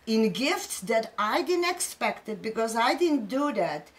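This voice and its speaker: A woman's voice: a little high, big pitch swings, slow. A middle-aged woman from Poland with a Polish accent, hosting a casual spiritual chat and telling stories earnestly and emphatically.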